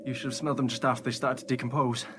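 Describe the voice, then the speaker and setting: An actor plays an earnest, anxious man trapped in a horror radio play. His mid-pitched voice is urgent, and clear noise runs behind it. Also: flat delivery, rapid-fire, fairly bright tone, natural voice. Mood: uneasy.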